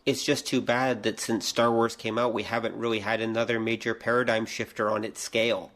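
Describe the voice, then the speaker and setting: A man's voice: on the low side, flat delivery, a little fast, fairly bright tone. A middle-aged man co-hosting a podcast, talking casually in a thoughtful, questioning way. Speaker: podcast co-host. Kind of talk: casual conversational podcast discussion.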